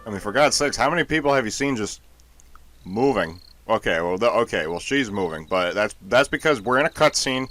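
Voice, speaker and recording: A man's voice: on the low side, animated, fairly quick, fairly bright tone, soft background music. A man giving casual, joking commentary over a video game as a co-commentator. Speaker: let's play co-commentator.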